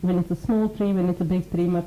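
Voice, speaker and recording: A woman's voice: lowish voice, steady tone, fast, roomy sound, highs cut off, slight background noise. A woman who teaches mindfulness and talks earnestly on a radio show, explaining ideas through everyday examples and acted-out quotes. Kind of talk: conversational radio talk.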